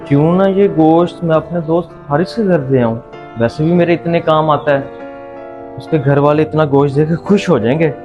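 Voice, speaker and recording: A man acts as a sly yet obedient teenage son in a moral drama. His voice sits a little high and sounds muffled, with faint music behind. He talks deferentially, with a pleading edge. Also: lightly acted. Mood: scheming. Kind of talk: Urdu moral-story audio drama acting.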